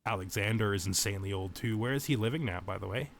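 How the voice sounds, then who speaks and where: A man's voice: on the low side, fast, vocal fry, a little hiss or hum. A man giving tutorial-style commentary as a let's play host, explaining things and thinking aloud as he goes.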